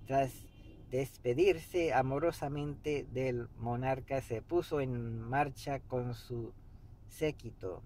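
Slow, halting man reading aloud. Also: mid-range voice, low-quality recording. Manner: halting, pauses mid-sentence, clears throat. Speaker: amateur reader of a book. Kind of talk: reading a book aloud, meditatively. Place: inside a parked car by a street. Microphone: camera an arm's length or so away. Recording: steady background noise inside a car.

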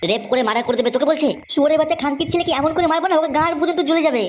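A woman's voice: high voice, racing, a little hiss or hum, lightly acted. A woman voicing a bullying, foul-mouthed middle-aged gangster boss and father in a comic cartoon. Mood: furious. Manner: bullying, scolding, crude.

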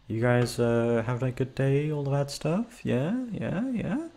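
A man's voice: mid-range voice, lively intonation, unhurried, dark tone. Rambling casual young man. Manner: rambling, self-deprecating, many fillers. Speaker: voice actor. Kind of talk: casual outro chat.

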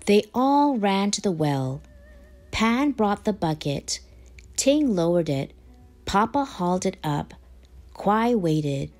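A woman reading a children's picture book aloud in a measured, animated storytelling manner. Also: medium pitch. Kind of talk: expressive storybook read-aloud. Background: background music.

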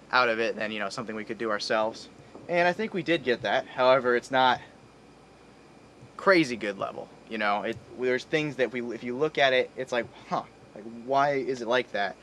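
A man's voice: mid-range voice, a little fast, fairly bright tone. An American man in his thirties explains things casually to camera as a vlog host, outdoors on a boat. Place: outdoors on a sailboat in a boatyard. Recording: outdoor recording with ambient noise.

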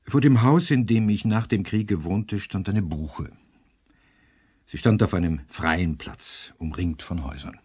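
An actor playing an earnest orator in a radio drama, speaking in a deliberate, rhetorical and emphatic way with a husky voice. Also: male voice, low-pitched, darkish tone, exaggerated voice. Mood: sombre, nostalgic. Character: earnest male orator warning radio listeners about trees and air. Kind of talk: radio drama monologue, solemn public address.

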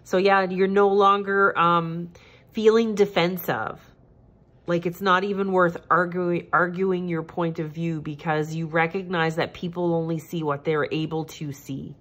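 A woman with a stuffed-up, nasal voice reading tarot cards at home in a gentle, repetitive, reassuring way. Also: on the low side, fairly even intonation, a little slow. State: congested, stuffed-up nose. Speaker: tarot reader. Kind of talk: casual tarot reading monologue. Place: home, at a table.

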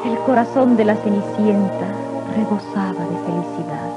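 A woman's voice: mid-range voice, moderate pace, dull audio. A woman reading a scripted children's storybook as narrator, on a vintage record with music.

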